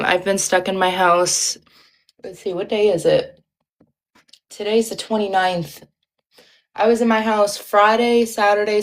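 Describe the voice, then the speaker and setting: Chatty woman with an Ohio accent. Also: mid-range voice. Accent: Ohio Midwestern. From Ohio, USA. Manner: rambling, chatty, many ums and likes. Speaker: livestream host. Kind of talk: casual livestream chat.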